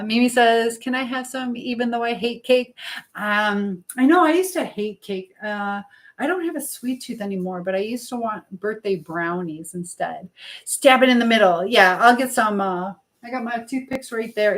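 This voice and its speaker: A woman's voice: mid-range voice, normal speed. Chatty American woman, casual livestream host. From Michigan, United States. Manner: chatty, jumps between topics mid-thought. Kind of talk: casual live chat, reading and answering viewer comments.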